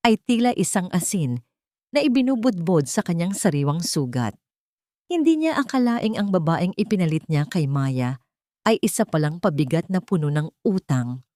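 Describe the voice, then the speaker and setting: A woman with a Filipino accent reads a dramatic story in a low voice. Her pitch moves in lively swings, and the sound is dry and close, with very little room. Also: dry recording. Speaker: narrator of audio stories. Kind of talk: dramatic scripted story narration.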